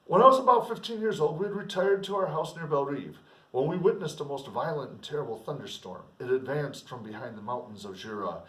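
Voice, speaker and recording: A man's voice: medium pitch, a bit bright. Echoey, distant-sounding man reading literature aloud. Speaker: teacher reading aloud with commentary. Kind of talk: literary reading with informal teaching asides. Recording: roomy echo, distant microphone.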